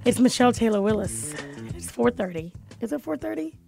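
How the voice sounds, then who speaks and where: Raspy woman radio host in her thirties, fairly high voice, lively chatty delivery, studio mic. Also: brisk, soft background music. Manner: lively, laughing banter. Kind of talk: talk radio hosting.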